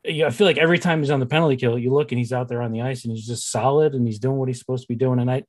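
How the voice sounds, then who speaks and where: A man with a mid-pitched voice hosts a casual sports conversation. He talks informally, like a fan chatting with a guest. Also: fairly even intonation, racing. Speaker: sports podcast host.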